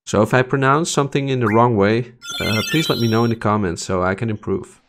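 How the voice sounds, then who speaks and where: A man's voice: medium pitch, fairly quick, noisy background. A man in his thirties from the Netherlands, a native Dutch speaker with a Dutch accent, casually introducing a game playthrough in a chatty way with many fillers. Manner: relaxed, chatty, with frequent uh and um. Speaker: let's play host. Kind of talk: casual gameplay commentary.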